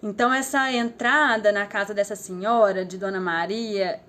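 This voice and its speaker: A woman's voice: medium pitch, steady tone, moderate pace, fairly bright tone. A young adult woman with a Brazilian accent, telling her story in a reflective, casual way on a live stream, often trailing off with small fillers. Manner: thoughtful, rambling, with frequent "assim" and "né" fillers.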